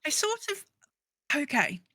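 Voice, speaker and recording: A woman's voice: sits high, sing-song, measured pace, sharp tone, dry recording, clean studio sound. A woman co-hosting a podcast, talking casually and animatedly, often acting out imagined remarks. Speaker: podcast co-host. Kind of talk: casual conversational discussion of a video game.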